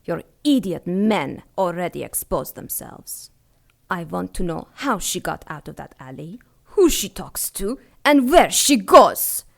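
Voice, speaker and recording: A woman's voice: lowish voice, sing-song, measured pace, gravelly, a bit bright, heavily acted. An actress playing a scornful, commanding cult seneschal in a pulp radio drama, condescending to those beneath her. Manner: condescending, commanding, clipped orders. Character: imperious, scornful female seneschal of secret sinister cult.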